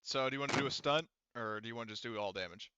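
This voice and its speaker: A man's voice: mid-range voice, rapid-fire, background noise. A man acting as game master, narrating a tabletop roleplaying game in an informal, casual way. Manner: informal, occasional swearing.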